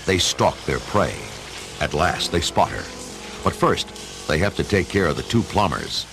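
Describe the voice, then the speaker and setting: A man's voice: low voice, animated, a little slow. A man giving theatrical scripted narration for a radio adventure story. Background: music.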